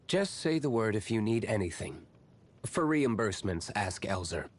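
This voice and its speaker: An actor playing a brooding, aloof young nobleman vigilante in a video game, with a terse, guarded, measured manner. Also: fairly low pitch, sing-song. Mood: guarded, reserved. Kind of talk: scripted video game character lines.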